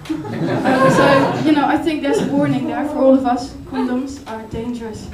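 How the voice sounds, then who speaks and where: High-voiced Dutch woman doing stand-up. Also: normal speed, echoey room, distant mic, a loud crowd, very noisy. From Netherlands. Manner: rambling, hesitant, deadpan absurd. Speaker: stand-up comedian. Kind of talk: stand-up comedy set. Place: comedy club stage. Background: audience laughter.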